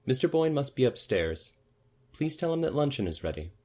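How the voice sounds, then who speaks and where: Man voicing a brisk lady of the house, pitched up. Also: big pitch swings, darkish tone, natural voice. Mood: composed, instructing. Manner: curt, questioning, commanding. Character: brisk, puzzled lady of the house, a married woman. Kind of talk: audiobook character voice.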